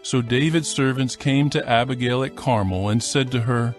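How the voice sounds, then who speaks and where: A man narrating a dramatized scripture reading in a measured, formal, scripted style. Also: mid-range voice, soft background music.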